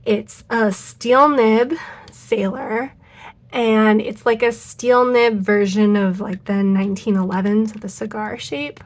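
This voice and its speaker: Congested woman chatting about pens. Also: a little high. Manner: chatty, rambling, self-correcting with many ums and likes. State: nasal and congested from a cold, losing her voice. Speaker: fountain pen vlogger. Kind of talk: casual unboxing chat to camera. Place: home craft studio.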